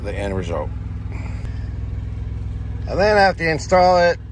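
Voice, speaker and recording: A man's voice: on the high side, sing-song, unhurried. A middle-aged American man giving a casual hands-on tutorial as a trucker. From United States. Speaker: trucker and channel host. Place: inside a semi truck cab. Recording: noisy truck cab with engine hum.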